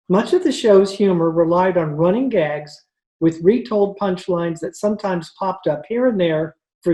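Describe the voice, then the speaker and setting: A woman's voice: fairly low pitch, mellow. A woman announcer reading scripted narration for an old-time radio show. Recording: video call recording.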